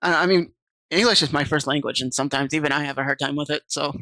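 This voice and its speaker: A woman's voice: low voice, fairly even intonation, quick pace, fairly bright tone, no room sound, rough recording. Woman streamer reading a game aloud. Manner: chatty, reads game text aloud and answers chat. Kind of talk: casual stream, reading a visual novel aloud.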